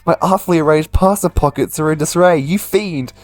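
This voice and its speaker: A man's voice: on the high side, brisk, pitched above the performer's own voice, natural voice. A man voicing a pretentious, fussy young male vampire, theatrical and easily offended, for a dating game. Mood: indignant. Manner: pompous, theatrical, indignant.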